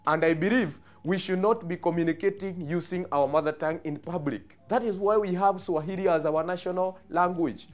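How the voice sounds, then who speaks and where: A man with a Kenyan accent teaching a social studies lesson on television, explaining terms step by step and repeating key phrases, with frequent hesitations. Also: a little high.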